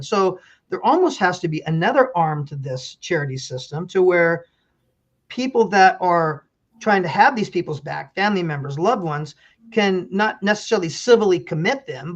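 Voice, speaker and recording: A man's voice: high voice, lo-fi sound. A middle-aged man, a pastor and county official, speaking earnestly as an interview guest and telling stories with many restarts. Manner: earnest, storytelling, frequent restarts.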